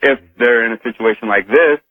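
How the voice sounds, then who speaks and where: A male radio caller heard over a thin telephone connection. He talks casually in a medium-pitched voice, working through his reasoning as he goes, a little hesitantly. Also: a little fast. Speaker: radio call-in guest. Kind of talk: casual phone-in discussion. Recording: tinny phone line on radio.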